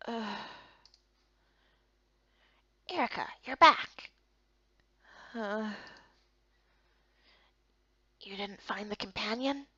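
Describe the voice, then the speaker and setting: Woman as a soft, hesitant, kindly young man. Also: mid-range voice, slightly rough, lo-fi sound, exaggerated voice. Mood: disappointed. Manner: soft-spoken, halting.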